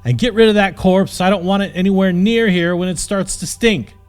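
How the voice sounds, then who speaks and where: A man voicing a hot-tempered bald bandit captain in an audiobook, sneering and exasperated with drawn-out emphasis. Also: high voice, smooth, soft background music, pitched above the performer's own voice, lightly acted. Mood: furious.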